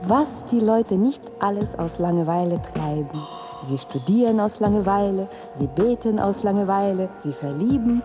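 An actress playing an enigmatic, world-weary woman delivering an ironic, musing radio drama monologue. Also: fairly low pitch. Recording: muffled sound with music underneath.

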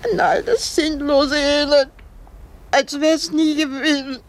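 A woman's voice: high voice, steady tone, slow pace. An actress playing a frail, complaining old woman in a German radio drama, fussy and theatrically lamenting, wry and full of self-pity. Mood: morbid, lamenting. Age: older.

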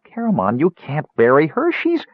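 A man voicing a small, earnest young male kender with a high, pleading voice. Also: huge pitch swings, moderate pace, no room sound, narrow, tinny audio.

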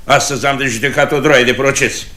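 Radio comedy mayor acted by a man: middle-aged, self-important, blustering, forceful bright tone. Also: mid-range voice, fairly even intonation, lightly acted. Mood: harried, complaining. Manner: blustering, declamatory. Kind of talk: radio comedy stage acting.

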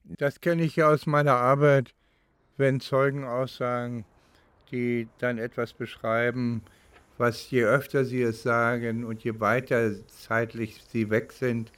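Older male legal professional from East Germany, slow and deliberate, reflective interview delivery with frequent pauses. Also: mid-range voice, level tone, fairly bright tone, smooth. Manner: deliberate, halting, pausing between phrases. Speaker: legal professional recounting his experience. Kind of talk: reflective spoken recollection, interview-like.

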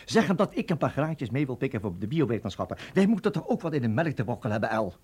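A man's voice: mid-range voice, big pitch swings, quick pace, darkish tone, slightly rough. A man acting in a radio drama as a pushy, frustrated official who argues in an urgent, persuasive way. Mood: urgent, insistent. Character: pushy, frustrated male colleague of a general.

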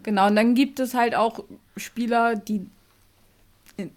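Slow, measured woman podcaster. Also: on the high side, fairly even intonation. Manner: measured, hesitant starts. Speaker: podcast co-host. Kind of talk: casual chat.

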